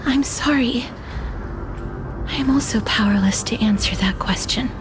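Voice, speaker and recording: A woman playing a gentle, solemn young holy maiden of death in a video game, speaking softly and formally with a breathy tone. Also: on the high side, measured pace, lightly acted. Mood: solemn regret. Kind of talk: dramatic video game voice acting. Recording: faint music under voice. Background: music.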